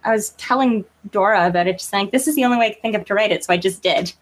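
A woman's voice: mid-range voice, racing, low-quality recording. A woman chatting casually as a guest author in an interview, informal and self-deprecating in manner.

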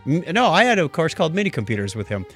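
A middle-aged or older man hosting a casual tech talk show, chatting conversationally and thinking out loud as he goes. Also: on the high side, big pitch swings, very fast, faint music behind. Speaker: podcast host.

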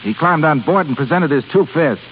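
A man's voice: on the high side, lively intonation, fairly quick, close to an everyday voice. A man playing a hot-tempered, blunt young ship's officer in a 1930s radio drama. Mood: defiant, angry. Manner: blunt, defiant, clipped. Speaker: actor in a radio play. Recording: hissy, tinny 1930s radio recording.

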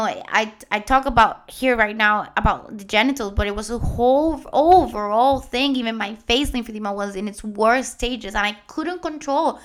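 A woman in her late twenties with a Puerto Rican accent, Spanish her first language, telling her own story earnestly with many pauses and restarts. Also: a little high, fairly bright tone. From Puerto Rico. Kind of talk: personal story told to followers.

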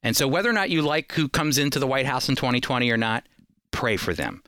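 American man, middle-aged, program host, bright smooth voice, dry studio sound, earnest preacherly delivery. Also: medium pitch, animated, fast talker, no room sound. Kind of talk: scripted faith and politics commentary.

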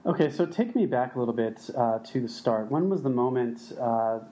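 A man's voice: medium pitch, lively intonation, normal speed, mellow, low-quality recording. A man hosting a podcast interview, asking friendly questions over a call. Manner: friendly, asks questions, laughs easily. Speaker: podcast host.

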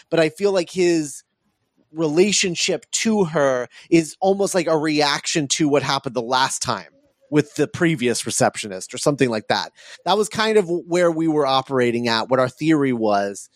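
A man's voice: on the high side, expressive, moderate pace, dry recording, clean studio sound. A man hosting a podcast, talking casually about film and thinking aloud with frequent hesitations and repeats. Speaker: podcast host.

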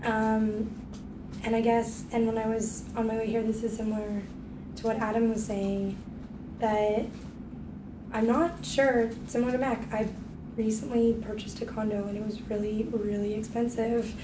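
A woman's voice: fairly high pitch, little pitch movement, normal speed. A woman from Canada giving hesitant personal remarks as a participant in a group discussion. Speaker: meeting participant. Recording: distant mic, echoey noisy room.

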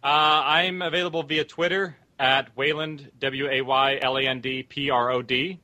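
A man's voice: medium pitch, fairly even intonation, normal speed, pushed and bright. A man talking casually as a guest writer-producer on a panel.

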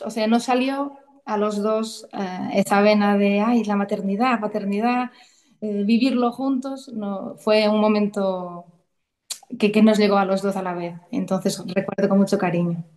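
A woman's voice: a little high, warm tone. Chatty woman in her thirties. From Portugal. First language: Portuguese. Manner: chatty, emotional storytelling with many restarts. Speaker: guest. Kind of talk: casual conversational interview.

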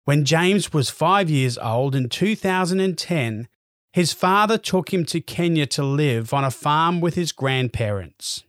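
A man reading calm, measured scripted narration for a documentary feature. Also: a little high, dry recording, clean studio sound.

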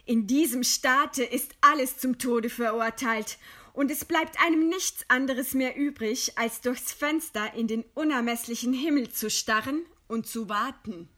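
An actress playing a proud, composed dissident writer in a German radio play, speaking with measured, scornful eloquence. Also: female voice, high-pitched, pushed and bright, heavily acted. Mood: calm irony. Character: condemned dissident woman writer, widowed, proud, defiant, composed.

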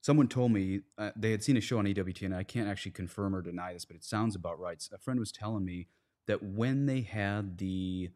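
A man's voice: lowish voice, brisk, a little mellow. A man speaking as a guest in a conversational religious discussion, earnest and explanatory. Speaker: priest, podcast guest.

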